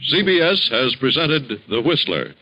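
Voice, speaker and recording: A man's voice: medium pitch, very animated, measured pace. A man announcing scripted credits on 1940s network radio in a formal, polished manner. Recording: dull old 1940s radio recording, music behind. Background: theme music.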